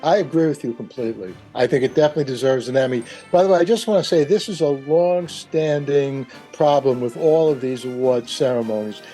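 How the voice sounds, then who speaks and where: A man's voice: mid-range voice, a little fast, few pauses, dark tone, soft background music. An older man talking casually as a radio guest, telling stories with many digressions. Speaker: radio panel guest, author. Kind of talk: casual discussion.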